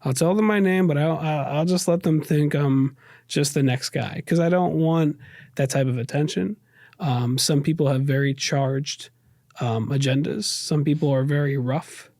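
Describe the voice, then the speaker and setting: A man's voice: on the high side, normal speed, a little mellow, no room sound, studio quality. A man with a New York accent speaking earnestly and reflectively as an interview guest, often repeating and rephrasing as he goes. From raised in the United States, New York. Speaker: podcast guest. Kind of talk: reflective personal interview talk.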